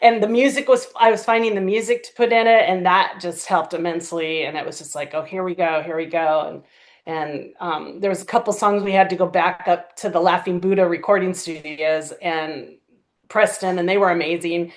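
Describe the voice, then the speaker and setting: A woman's voice: on the low side, normal speed. A woman chatting casually in an interview as the creator of an audio drama, telling stories enthusiastically and acting out little quotes. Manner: enthusiastic, animated storyteller who acts out quotes.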